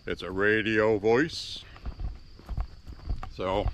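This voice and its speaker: A man who once worked in radio, talking slowly and deliberately while walking on a country road, recorded through a Bluetooth earpiece. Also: on the low side, fairly even intonation, fairly bright tone, slight background noise. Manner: slow, deliberate radio-trained delivery. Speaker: walking vlogger, former radio host. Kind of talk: casual walking vlog monologue. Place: outdoors on a quiet country road. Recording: faint crickets outdoors. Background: crickets and insects.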